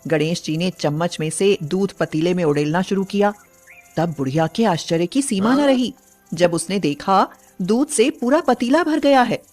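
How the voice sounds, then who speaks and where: A woman's voice: medium pitch, expressive, moderate pace, darkish tone, faint music behind. A woman narrating a scripted bedtime story as a storyteller.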